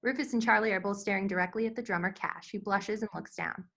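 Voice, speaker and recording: A woman's voice: mid-range voice, fast, vocal fry, fairly bright tone. A woman narrating scripted stage directions at a screenplay table read.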